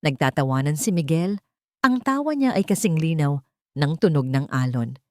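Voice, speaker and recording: A woman with a Filipino accent narrating a scripted dramatic story in a measured, emotive way. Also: low voice, animated, darkish tone, dry recording, studio quality.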